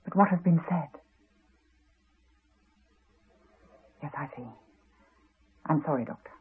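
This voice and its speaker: A woman's voice: low-pitched, brisk, breathy edge. An actress with a refined English accent playing an earnest, insistent woman in a 1980s radio drama, on a muffled old recording. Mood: troubled. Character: earnest, insistent woman drawn to a haunted house.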